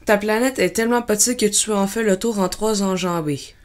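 A woman's voice: mid-range voice, normal speed, natural voice. Woman as an innocent, curious little prince. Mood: helpful, earnest. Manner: earnest, innocent, questioning. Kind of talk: audiobook story dramatization.

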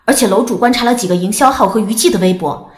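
A woman playing a chatty young fan who posts on a gossip forum, with playful, expressive delivery. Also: mid-range voice, fast talker, natural voice. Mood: curious, speculative. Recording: echoey room effect with music.

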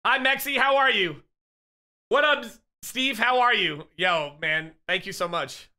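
A man in his thirties streams from home on a headset, shouting excited, profane greetings to his viewers. His voice is high and very bright and forceful, though it is wearing thin as he gets sick. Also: unhurried. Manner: loud, excitable, profane shout-outs to chat. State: losing his voice, getting sick. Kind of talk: casual live-stream chat.

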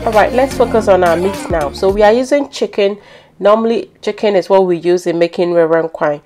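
A woman's voice: mid-range voice, moderate pace, a little mellow, faint music behind. A woman with a Ghanaian accent narrating a home cooking tutorial in a chatty, explanatory way. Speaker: home cook hosting a cooking channel. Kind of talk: instructional cooking narration, conversational.

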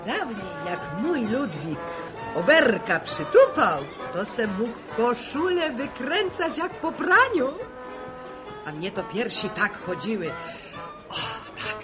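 Middle-aged wife, gossipy, teasing, swooping intonation, unhurried, music behind. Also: female voice, a little high, very animated. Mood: earthy, amused. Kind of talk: radio drama dialogue.

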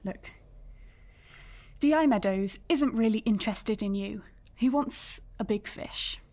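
A woman's voice: medium pitch, unhurried, dark tone, natural voice. An actress playing a businesslike female police sergeant in an audio drama, firm and matter-of-fact. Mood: easing, practical.

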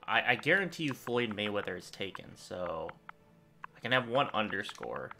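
A man's voice: lowish voice, moderate pace, vocal fry, soft background music. A young man hosting a gaming channel, thinking aloud in rambling casual commentary while he plays, recorded at a desk in a small room at home. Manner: rambling, thinking aloud, lively swings in loudness.

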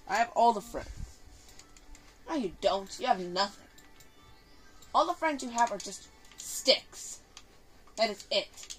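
A female gaming host giving casual, improvised commentary in a bossy, teasing manner, slipping into play-acted bickering. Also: mid-range voice. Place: indoor room, filming a TV screen. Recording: noisy room recording with clicking.

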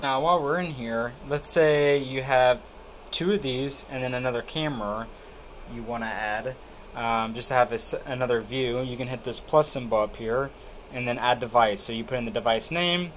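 A man with a mid-pitched voice presents a hands-on product walkthrough. He explains things casually and plainly, one step at a time. A faint air-conditioning hum sits behind him. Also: normal speed. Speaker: tech tutorial presenter.

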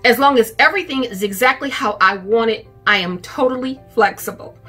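A woman's voice: fairly high pitch, fairly quick, sharp tone, soft background music. A middle-aged woman chatting casually to camera as a vlogger, recorded indoors in a room at home. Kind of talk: casual shopping haul chat to camera.

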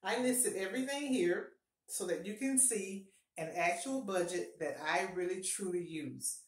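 A middle-aged woman giving upbeat, encouraging budgeting advice to camera in a casual home vlog, often repeating her key points. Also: fairly low pitch. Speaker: personal finance vlogger. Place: small home bathroom, indoors. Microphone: fixed camera at close range. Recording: echoey small bathroom.

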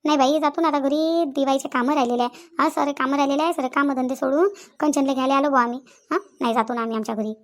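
Woman acting a fast, high-voiced insistent village woman. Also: close to an everyday voice. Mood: insistent hospitality. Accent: rural Maharashtrian, Vidarbha. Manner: insistent, chatty, repeats phrases for emphasis. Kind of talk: Marathi comedy cartoon dialogue.

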